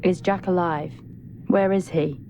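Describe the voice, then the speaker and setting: Game heroine: wary and determined, lowish, very slow, murmured, natural delivery, noisy background. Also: female voice, steady tone, a little mellow. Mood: accusing, tense. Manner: measured, low-key, often murmuring. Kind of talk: naturalistic video game acting.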